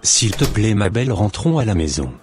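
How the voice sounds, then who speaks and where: A man playing a remorseful, lonely husband in a scripted cartoon drama, speaking in an earnest, deliberate way. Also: lowish voice, big pitch swings, a little mellow, faint music behind. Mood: pleading. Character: remorseful, lonely husband swallowing his pride. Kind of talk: scripted animated drama monologue and dialogue.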